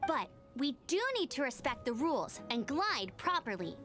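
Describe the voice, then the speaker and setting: Perky high-voiced young knight scout. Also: female voice, sing-song, moderate pace, fairly bright tone, faint music behind. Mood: encouraging. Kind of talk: video game voice acting.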